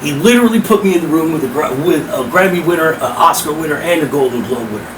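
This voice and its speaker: A middle-aged man with a hoarse, powerful voice, telling stories emphatically as a podcast guest. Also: on the high side, fast. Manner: animated, emphatic, profane. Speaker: guest, rap host and promoter. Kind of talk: casual podcast storytelling. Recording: roomy, distant mic with air-conditioning hum.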